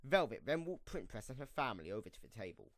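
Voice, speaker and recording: Man, storybook narrator, animated intonation, dry close sound, mid pitch. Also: fast talker, no echo. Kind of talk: scripted storybook narration for a fan audio drama.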